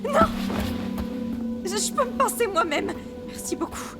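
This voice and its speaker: A woman playing a reserved, uneasy teenage schoolgirl in a French video game dub, soft-spoken and hesitant. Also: very high-pitched, very animated, unhurried, full character voice. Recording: music behind the voice.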